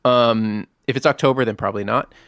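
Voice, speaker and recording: Co-host, young man, gravelly voice, dry close sound. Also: mid-range voice, fairly even intonation, a little fast, fairly bright tone, no echo. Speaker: podcast co-host. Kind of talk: casual livestream chat.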